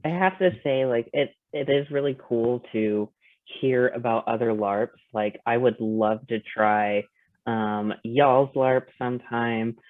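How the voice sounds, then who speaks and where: A man chatting casually and haltingly over a thin, phone-like connection. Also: mid-range voice, dry recording. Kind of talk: casual chat. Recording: thin phone-like audio, little bandwidth.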